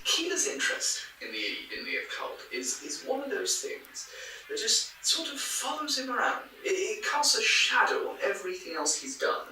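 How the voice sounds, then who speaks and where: Skeptical male researcher, wry, stammering, lively intonation, echoey room, off-mic, interview-style audio drama. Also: extremely high pitch, moderate pace, far from the mic, a little hiss or hum, heavily acted. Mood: reflective, skeptical. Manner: rambling, wry, hesitant, stammering. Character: chatty, skeptical male folklore researcher musing on old records. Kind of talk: naturalistic interview in a podcast drama.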